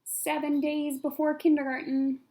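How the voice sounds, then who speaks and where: A woman reading a picture book as a pre-K teacher, expressive, with simple explanatory asides for young children. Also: sits high, normal speed, poor audio.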